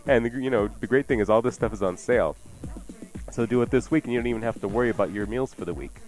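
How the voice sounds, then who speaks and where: A man's voice: medium pitch, fast talker, a little mellow. Casting: man as radio cooking host, chatty and playful while explaining, music underneath. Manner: jokey, explanatory. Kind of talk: casual cooking chat. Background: music playing behind the voice.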